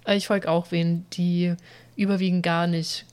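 A woman's voice: fairly low pitch, little pitch movement, normal speed, darkish tone, clear, resonant tone. A woman co-hosting a casual podcast, chatting loosely with a self-deprecating, laughing manner. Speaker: podcast co-host.

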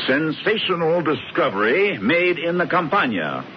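Radio play casting: brash, bossy schemer of a brother, breezy and theatrical, swinging pitch, dull slightly noisy recording. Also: male voice. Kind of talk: radio drama acting.

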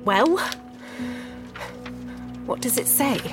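Noir audio drama wife: female, bitter, scornful, sharp-tongued, unhurried delivery over music. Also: fairly high pitch, lively intonation. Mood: skeptical impatient. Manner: sardonic, scornful, measured. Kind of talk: scripted gothic noir audio drama acting.

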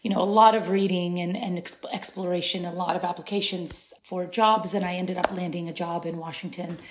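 Woman guest with thoughtful, halting delivery. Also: on the low side, steady tone, background noise. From Syria. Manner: thoughtful, many restarts and fillers. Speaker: interview guest. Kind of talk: conversational interview.

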